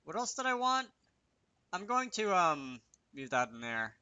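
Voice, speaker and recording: A man with a very bright, crisp voice talks through a programming tutorial off the cuff. He thinks aloud haltingly, pausing to work things out, and the sound is close and dry. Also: sits high, very animated, dry recording, dull audio. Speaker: game developer presenting a tutorial series. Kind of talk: unscripted screen-recorded programming tutorial.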